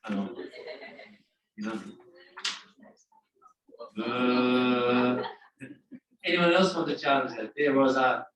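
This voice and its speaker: Male teacher, coaxing and questioning students, medium pitch, echoey room, distant mic. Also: extremely slow, pauses often, dull audio, a crowd in the background. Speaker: teacher leading a class. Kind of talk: interactive classroom talk. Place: classroom.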